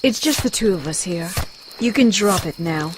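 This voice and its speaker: A woman playing a proud, blunt warrior with a dry, haughty, formal manner in an animated comic adventure. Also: fairly low pitch, moderate pace, slight background noise, lightly acted. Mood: haughty, probing.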